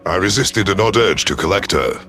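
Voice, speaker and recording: Deep, gruff reptilian predator commander, acted by a man. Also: expressive, a little fast, pushed and bright, faint music behind. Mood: grave narration. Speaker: narrator in character. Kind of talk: first-person scripted narration.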